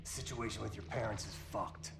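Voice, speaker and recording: An actor playing a gruff, impatient soldier in a video game, interrogating a captive in a blunt, pressing way. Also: male voice, on the low side, fairly even intonation, a little fast, noisy background. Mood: urgent, impatient. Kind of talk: cinematic video game drama. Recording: loud music under the voice.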